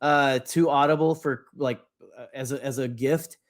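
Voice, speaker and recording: A man's voice: mid-range voice, fairly even intonation, normal speed. A man co-hosting a podcast, chatting casually and enthusiastically in a rambling, slangy way, often interrupting himself. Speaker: podcast co-host.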